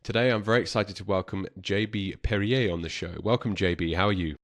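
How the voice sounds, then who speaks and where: Low-voiced southern English man, podcast host. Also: brisk. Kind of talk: conversational interviewing.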